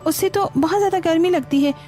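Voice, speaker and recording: A woman's voice: very high voice, quick pace, warm tone, faint music behind, voice pushed up. A woman voicing a lazy, entitled young female houseguest in a cartoon story, whining and ordering others around. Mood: entitled, dismissive.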